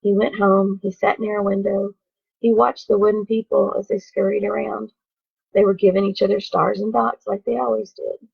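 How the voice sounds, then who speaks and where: A woman teacher reading a children's story aloud on a phone livestream, expressive, with asides to the viewers. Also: medium pitch, normal speed, creaky, breathy edge, dry recording, phone-quality sound.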